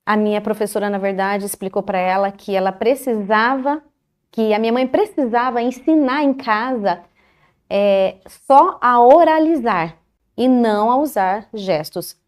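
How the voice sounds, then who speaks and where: A woman recounting her own life story in a calm, measured way, pausing often between short phrases. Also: on the high side. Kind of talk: first-person life story in a TV interview.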